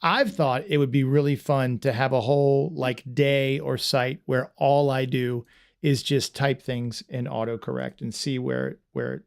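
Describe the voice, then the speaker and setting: A man's voice: mid-range voice, unhurried, vocal fry, clear, resonant tone. A man hosting a casual livestream podcast, rambling and self-deprecating with lots of restarts. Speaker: podcast host.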